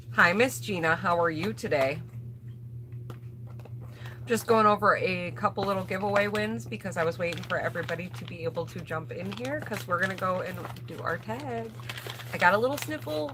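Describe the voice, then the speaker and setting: A woman with a slightly low voice talks in a casual, chatty livestream style. She thinks aloud and speaks straight to her viewers as she shows products, with noticeable noise in the recording. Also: a bit bright. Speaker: beauty vlogger hosting a livestream.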